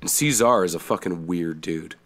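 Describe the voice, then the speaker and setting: A man's voice: sits low, normal speed. A young Canadian man co-hosting a podcast, talking casually, swearing a lot and breaking into silly voices. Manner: animated, swears often, slips into silly accents. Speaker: podcast co-host. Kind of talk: casual chat.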